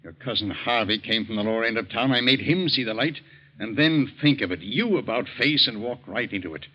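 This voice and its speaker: A man's voice: medium pitch, fairly quick, natural voice. An actor playing a proud, scolding middle-aged surgeon father in a 1940s American radio drama, imperious and clipped. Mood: bitterly disappointed. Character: proud, stern, domineering wealthy surgeon father. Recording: dull old radio recording.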